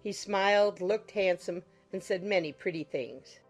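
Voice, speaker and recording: A woman's voice: lowish voice, unhurried, soft background music. A woman reading scripted literary narration as an audiobook narrator.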